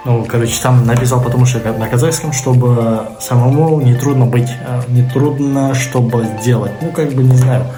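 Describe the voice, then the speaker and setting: Young man, halting vlog chat, mid-range voice, clear music underneath. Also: medium pitch, steady tone. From Kazakhstan. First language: Kazakh. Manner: halting, searching for words, many fillers. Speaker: vlogger. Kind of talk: casual vlog talk.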